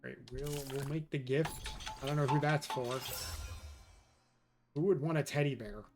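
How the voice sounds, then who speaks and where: A man's voice: fairly high pitch, fairly even intonation, a little slow. A man from New York chatting casually with his viewers while streaming a game, answering chat as he plays. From New York, USA. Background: faint game music.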